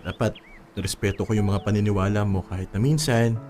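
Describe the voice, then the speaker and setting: Gentle, earnest Filipino boyfriend played by a man. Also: lowish voice, fairly even intonation, fairly quick, soft background music, close to an everyday voice. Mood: tender, sincere. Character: earnest, devoted, mild young boyfriend proposing. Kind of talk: Tagalog radio drama acting.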